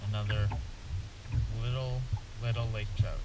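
A man's voice: fairly low pitch, fairly even intonation, unhurried. A young man chatting casually to camera as he films himself fishing from a boat on a lake. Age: young adult. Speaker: angler filming his fishing trip. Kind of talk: casual vlog chat to camera. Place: outdoors on a small motorboat on a lake. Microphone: action camera mounted on the boat, some distance away. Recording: distant mic, loud boat and water noise.

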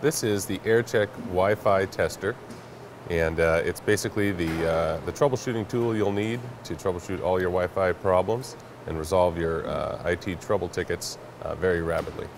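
Low-voiced, matter-of-fact man explaining. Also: normal speed. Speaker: network product company representative, guest. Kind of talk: explanatory product demo in an interview. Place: large indoor warehouse staging space. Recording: clear background noise of a warehouse.

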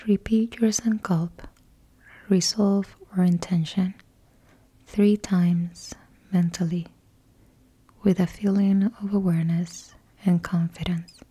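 Woman, meditation guide, whispered delivery, very slow and low, close to the mic. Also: steady tone, warm tone, slightly rough. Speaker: yoga nidra guide.

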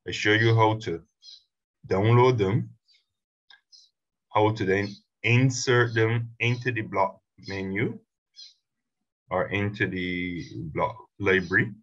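A man teaching a screen-recorded software tutorial, explaining step by step in a relaxed way with fillers. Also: lowish voice, lo-fi sound. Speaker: instructor.

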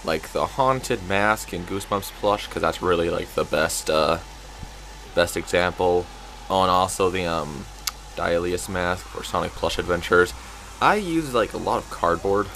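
A man giving a casual craft showcase in an informal, halting, rambling way. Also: low-pitched, a bit bright, slight background noise. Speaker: craft video creator showing a homemade puppet. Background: background music.

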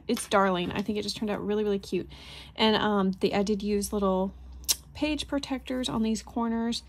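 A woman giving a casual, chatty, enthusiastic craft flip-through, narrating informally as she presents handmade items. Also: a little high. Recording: clear background noise, handling clicks.

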